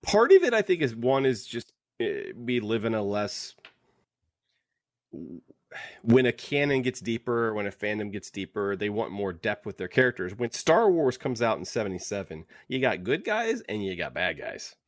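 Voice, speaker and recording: A man with a fairly low voice, recorded close and dry. He talks casually as a podcast co-host in a book club discussion, giving his opinions with joking asides. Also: very animated, normal speed, dry recording.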